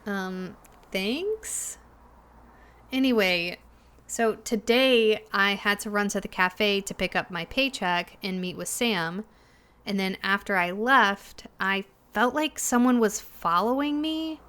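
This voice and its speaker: A woman playing a shaken young cafe worker in an audio drama, talking haltingly and hesitantly, with sighs and pauses. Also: medium pitch, a bit bright, clean tone. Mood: unsettled, hesitant. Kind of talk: naturalistic audio drama dialogue.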